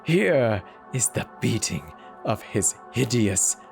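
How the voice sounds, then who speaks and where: A man voicing a guilt-racked, paranoid murderer in a theatrical, deliberate dramatic horror reading with drawn-out pauses. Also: medium pitch, very animated, gravelly, faint music behind, full character voice. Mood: frantic, tormented. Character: guilt-racked madman murderer confessing in growing paranoid agitation. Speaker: storyteller.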